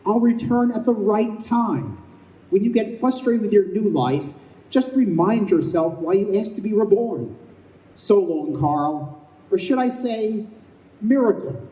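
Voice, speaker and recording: A teasing heavenly guide acted by a man in an old-time radio play. The voice is high and animated, declamatory and knowing, in an echoey live room. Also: a little slow. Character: brisk, needling male heavenly guide, no angel. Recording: echoey live stage recording.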